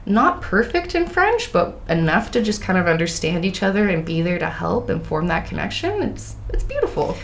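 A woman's voice: fairly low pitch, normal speed, creaky, few pauses, slight background noise. Chatty woman host who laughs often. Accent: English Canadian. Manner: laughs often, animated storytelling. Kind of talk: casual interview chat.

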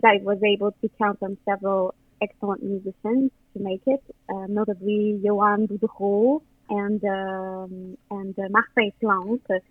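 A woman's voice: mid-range voice, dry recording. French-accented woman on a tinny phone line. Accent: Quebec French. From Quebec. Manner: hesitant, many fillers and restarts. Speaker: guest musician. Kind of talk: casual interview over the phone.

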